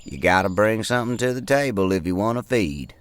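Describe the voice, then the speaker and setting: Needling camp tramp played by a man, very animated intonation, slangy and folksy, audio play. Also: lowish voice, a little fast, smooth, dry recording, lightly acted. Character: brash, mocking male hobo sizing up newcomers. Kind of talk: audio drama acting.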